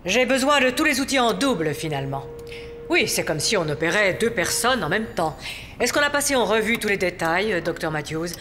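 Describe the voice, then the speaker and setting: Brisk, bright cartoon animal surgeon. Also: female voice, medium pitch, very animated, slight background noise. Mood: businesslike. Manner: exacting, assertive. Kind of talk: children's cartoon dubbing in French.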